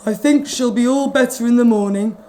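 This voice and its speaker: A woman voicing a kindly, busy male vet from a children's storybook, in a calm, reassuring read-aloud voice. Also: on the high side, fairly quick, close to an everyday voice.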